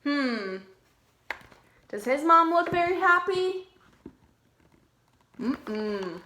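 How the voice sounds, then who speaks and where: A woman with a high voice speaks to preschoolers in a simple, questioning way, as a teacher does during story time. Her pace is unhurried and the room sounds echoey. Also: very animated, pushed and bright. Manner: simple, questioning, child-directed. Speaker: preschool teacher reading aloud. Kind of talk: children's read-aloud with questions to listeners.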